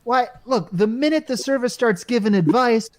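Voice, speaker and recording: A woman's voice: on the high side, moderate pace, a little mellow, natural voice. A woman playing a wisecracking, easily alarmed male office worker in an old-time radio comedy, colloquial and urgent.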